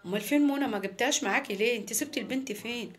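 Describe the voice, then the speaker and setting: An emphatic storybook dad with a quick, high delivery, played by a woman. He is soft-hearted yet blunt. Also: soft background music, close to an everyday voice. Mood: surprised. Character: indulgent, baffled father turning firm.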